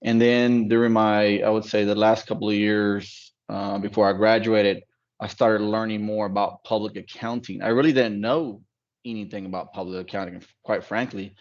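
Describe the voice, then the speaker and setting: Low, flat-voiced man on a muffled call. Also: normal speed. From Dallas, United States. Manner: relaxed, reflective, many fillers. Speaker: accounting firm partner. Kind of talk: casual panel talk on a video call. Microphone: video call. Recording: dull lo-fi call audio.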